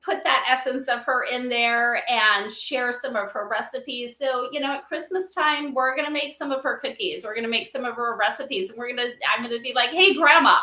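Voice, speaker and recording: Bright-voiced woman in an echoey room. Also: fairly high pitch, moderate pace, hardly any pauses. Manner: animated, emphatic, often checks in with "right?". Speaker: podcast guest, spiritual advisor for an AI company. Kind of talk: conversational interview answers.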